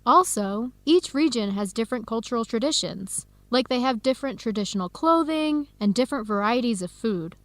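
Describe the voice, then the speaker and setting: An actress with an American accent playing an upbeat young Ethiopian American researcher in a radio drama, speaking in a clear, chatty, expressive way. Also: female voice, a little high, moderate pace, few pauses, no echo, natural voice. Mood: earnest, explaining. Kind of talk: scripted radio drama dialogue for English learners.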